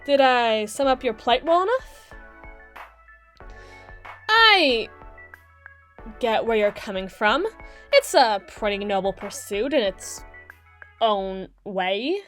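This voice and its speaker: A woman playing a brisk, sassy mermaid public attorney in a scripted ASMR roleplay, with a theatrical, sardonic, wordy delivery. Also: on the high side, very animated, fairly bright tone, soft background music, exaggerated voice. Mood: explaining. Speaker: voice actor in audio roleplay.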